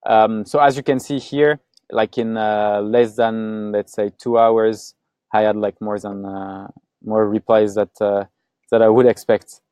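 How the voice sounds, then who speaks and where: A man's voice: lowish voice, flat delivery, measured pace, dark tone, smooth, no room sound. A man from Paris with a French accent, presenting his own results in a loose, chatty webinar talk full of fillers and restarts. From France.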